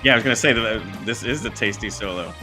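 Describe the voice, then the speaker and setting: A man's voice: on the low side, fast, over loud music. A man hosting a podcast, chatting casually as he interviews a guest. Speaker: podcast host.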